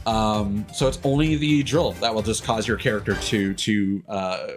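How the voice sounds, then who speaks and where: A man giving casual let's-play commentary as a streamer, talking in a rambling, halting way. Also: lowish voice, steady tone. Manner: rambling, hesitant, halting delivery; casual swearing. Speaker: game streamer, host. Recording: game music behind the voice. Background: video game music.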